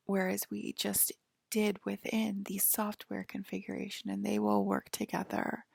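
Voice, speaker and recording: Slow, creaky whispering woman, very close. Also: mid-range voice, dry recording. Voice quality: breathy whisper. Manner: soft, slow, soothing. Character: calm alien abductor attending to a patient aboard a spaceship. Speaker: ASMR performer. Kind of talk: whispered ASMR sci-fi roleplay, improvised. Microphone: close microphone, dry.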